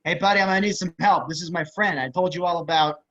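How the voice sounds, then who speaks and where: Man reading a sleazy, bright-voiced creeper. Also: high voice, fairly even intonation, fast. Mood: busy, sly. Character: sleazy, coaxing male creeper at a bar. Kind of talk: amateur screenplay table read. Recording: slightly dull video-call audio.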